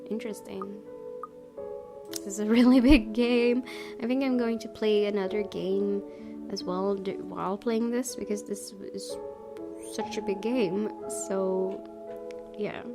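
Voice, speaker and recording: Young female let's player, mid-pitched, casual chat mixed with reading game text, music clearly audible behind her. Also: steady tone, measured pace, pauses often. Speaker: gaming video host.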